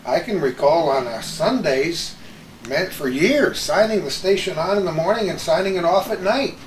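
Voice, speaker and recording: A man's voice: high voice, lively intonation, moderate pace. An older man, a former radio announcer, reminiscing in a panel chat. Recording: roomy, distant microphone, faint noise.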